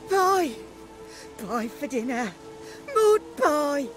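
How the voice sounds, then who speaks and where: A woman's voice: high-pitched, very animated, very slow, heavily acted. An actress playing a broken, bewildered mother in a video game, muttering and rambling repetitively. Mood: confused. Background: game music.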